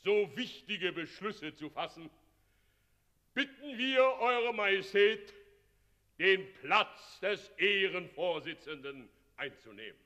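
An actor playing a fawning, addled old town mayor with a pompous, ceremonious manner in a German radio play. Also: male voice, high voice, bright, forceful tone, full character voice. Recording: long echoing hall reverb.

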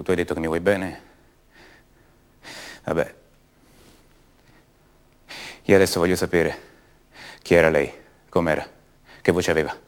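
An actor playing an anguished young son of a disappeared mother in a radio drama monologue, halting and repeating words with intensity. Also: low-pitched. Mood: hurt, hushed. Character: anguished young son of a disappeared woman, raised by her captors.

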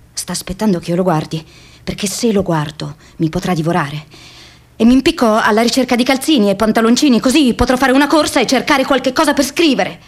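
Young female diarist, slightly high voice, restless and imaginative, intense introspective monologue for radio theatre. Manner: introspective, vivid first-person storytelling. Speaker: stage actress.

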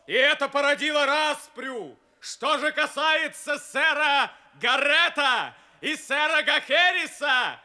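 A man's voice: extremely high pitch, bright, forceful tone, heavily acted. A man acting a proud, loyal knight in a declamatory, courtly audio play style. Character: proud, noble, loyal knight in impassioned defiance. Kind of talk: Soviet-era recorded radio play acting.

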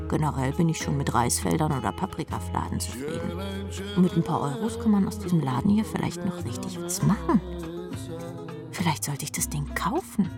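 A woman's voice: fairly low pitch, gravelly. An actress playing a brash, world-weary rock drummer who tells her story in a sardonic, rambling way in a radio drama. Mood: wry musing. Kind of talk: radio drama first-person narration. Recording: music playing behind the voice.

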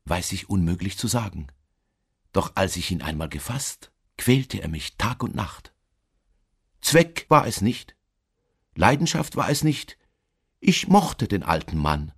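An actor playing a nervous, obsessive madman who insists he is sane, in an intense, deliberate radio-play monologue with a husky voice. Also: fairly low pitch, lively intonation, heavily acted. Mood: brooding, confessional. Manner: intense, deliberate, with sudden emphatic swells.

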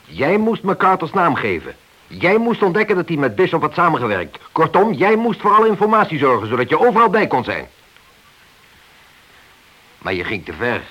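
Stern police inspector, expressive pitch. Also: male voice, highs cut off, exaggerated voice. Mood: stern, accusing. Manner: stern, deliberate, accusing. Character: stern, accusing male senior police inspector. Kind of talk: radio play acting.

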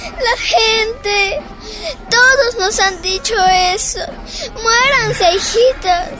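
An actress playing a sad, obedient little daughter in a radio soap opera. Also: female voice, very high-pitched, very slow, over loud music, exaggerated voice. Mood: tearful, hurt.

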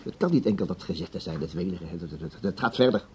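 An actor playing a brusque, gossipy, busy broadcasting boss in a radio drama, husky-voiced, chatty and dismissive. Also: male voice, deep voice, lively intonation, fast, exaggerated voice. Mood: gossipy, amused. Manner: dismissive, chatty, repeats himself. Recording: dull old radio drama recording.

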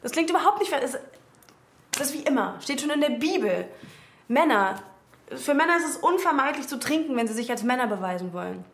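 Radio drama role: sharp-tongued, self-assured woman in her twenties, higher register, articulate and outspoken. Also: lively intonation, a little fast, slight background noise. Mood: sardonic, indignant. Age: 20s. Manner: argumentative, sardonic, articulate.

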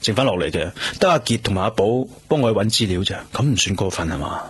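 Low-voiced determined Hong Kong detective. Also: male voice, lively intonation, quick pace, husky. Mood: calm, resolute. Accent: Hong Kong Cantonese. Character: determined police detective following his hunches. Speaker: actor. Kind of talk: Cantonese radio drama acting.

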